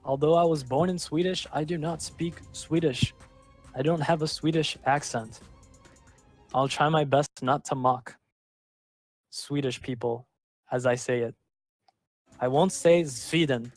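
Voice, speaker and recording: A male esports caster with a voice a touch higher than most men's, calling plays over faint background music. Between the action he drifts into rambling, self-mocking asides. He sounds like he is broadcasting from a home streaming setup. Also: steady tone, a little slow, pauses often, no echo. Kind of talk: live game commentary.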